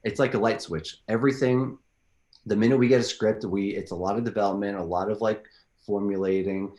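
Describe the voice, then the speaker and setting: A very low-pitched man talks loosely as an interview guest, circling back with lots of "like" and restarts. His voice rings in a roomy, echoing space. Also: fairly even intonation, brisk, a little mellow. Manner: rambling, filler-heavy, frequent restarts. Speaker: filmmaker guest. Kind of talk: casual interview answers.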